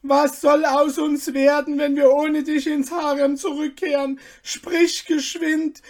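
Reader doing panicky palace servants, high and loud, pleading. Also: little pitch movement, slow pace. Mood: frantic. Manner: frantic, pleading. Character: crowd of frantic palace slaves.

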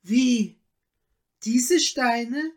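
A woman's voice: a little high, fairly even intonation, slow pace, voice pushed up. A woman voicing a gracious, emotional noble countess from a storybook, with courtly old-fashioned diction. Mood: startled, moved. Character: gracious noble countess, deeply moved and grateful.

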